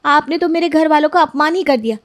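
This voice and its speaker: A woman's voice: high-pitched, quick pace, dry recording, voice pushed up, natural voice. A woman voicing a dutiful young daughter-in-law in a family story, aggrieved but polite to her elders. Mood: aggrieved, complaining. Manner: complaining yet polite to elders.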